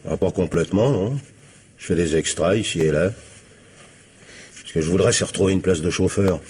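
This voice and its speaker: A man's voice: deep voice, normal speed, darkish tone, a little hiss or hum, close to an everyday voice. A man acting a defensive, jobless working-class young man in a French radio crime drama, speaking offhand slang with a French accent.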